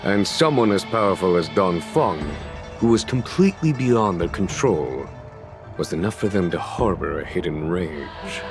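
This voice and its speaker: A low, deep-voiced general from a video game, calm and shrewd. He speaks formally and with measured ease, a hint of amusement underneath. Clear music plays behind him. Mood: measured, explanatory. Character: wise, calm, shrewd male general, recuperating. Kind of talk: English game voice acting, measured dialogue.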